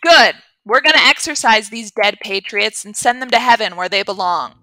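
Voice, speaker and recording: A woman's voice: on the high side, normal speed, pushed and bright, poor audio. A woman playing a brash, sardonic podcaster heroine in an audio drama, hard-boiled and bossy. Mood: commanding.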